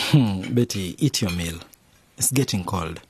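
A gentle middle-aged dad in a radio drama. His tender, consoling speech rises and falls a lot, with some noise behind him. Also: low-pitched, animated, takes it slowly, exaggerated voice. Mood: soothing. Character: gentle, affectionate middle-aged father, proud and soothing.

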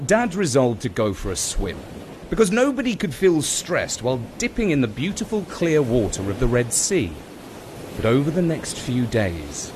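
A man narrating a scripted children's story in a dramatic, expressive manner. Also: mid-range voice, a little hiss or hum.

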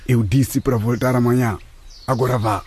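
An actor playing a stern, commanding village seer in a radio drama, giving curt, ominous orders. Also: male voice, medium pitch, steady tone, moderate pace, a little mellow, slightly rough, close to an everyday voice. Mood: threatening.

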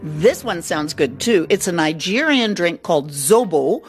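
Woman radio host, chatty, lively intonation, faint music bed. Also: lowish voice, a little slow.

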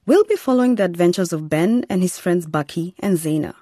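A woman's voice: fairly low pitch, lively intonation, fairly quick, warm tone, dry recording, clean studio sound. A woman presenting as narrator of a radio series, reading from a script.

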